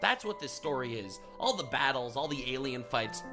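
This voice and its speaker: A man's voice: medium pitch, sing-song, moderate pace, bright, forceful tone, soft background music. A man giving earnest, explanatory narration for a scripted video essay.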